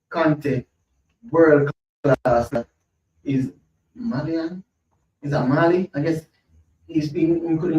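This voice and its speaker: A man's voice: medium pitch, darkish tone. A man, hosting a casual football podcast, thinking aloud, repeating himself and reading from his screen. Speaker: podcast host. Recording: roomy, lo-fi, mic at a distance, faint hum.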